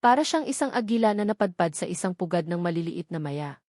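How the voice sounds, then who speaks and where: A woman with a Filipino accent reading a scripted romantic drama as a narrator, in a measured, solemn storytelling manner. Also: fairly low pitch, animated, a little mellow, dry recording, clean studio sound.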